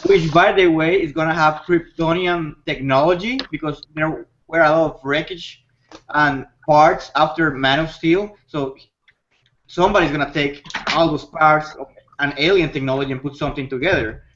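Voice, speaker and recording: Higher-voiced man arguing his take, lo-fi audio. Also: moderate pace, fairly bright tone, a little hiss or hum. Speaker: discussion panelist. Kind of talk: casual opinionated group discussion. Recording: dull lo-fi audio.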